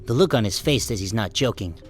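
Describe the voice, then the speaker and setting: A man's voice: lowish voice, animated, a little fast, faint music behind, lightly acted. A man playing a wary, resentful sheep who narrates his own story in a wry, guarded audiobook style. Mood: wry, tense. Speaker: first-person narrator and protagonist. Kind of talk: scripted first-person audiobook narration.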